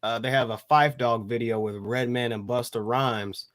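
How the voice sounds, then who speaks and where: A man's voice: medium pitch, fairly even intonation, normal speed, a bit bright. An American man co-hosting a hip-hop podcast, chatting in an easygoing, informal way. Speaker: podcast co-host.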